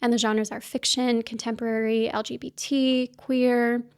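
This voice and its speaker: Chatty woman, slightly high voice. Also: little pitch movement, moderate pace, a little mellow, clean tone. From Kansas City, US. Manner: chatty, upbeat, lots of asides. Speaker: book vlogger. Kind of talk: casual chat to camera.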